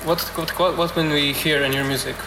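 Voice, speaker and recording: Halting male interviewer in an echoey room. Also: a little high, steady tone. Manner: halting, searching for words. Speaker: radio interviewer. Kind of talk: informal interview. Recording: echoey room with noticeable noise.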